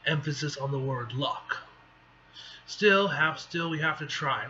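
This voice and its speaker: A man voicing a grimly determined comic book hero bonded to an alien symbiote, low-key and halting. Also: lively intonation, sharp tone. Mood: grim resolve. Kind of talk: amateur comic book read-aloud acting. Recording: dull lo-fi mic with faint noise.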